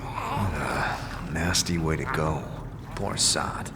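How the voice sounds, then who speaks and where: An actor playing a gruff, weary, terse male adventurer in a fantasy audio drama. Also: a bass voice, very animated, extremely slow, heavily acted. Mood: grim. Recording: reverberant hall with faint noise.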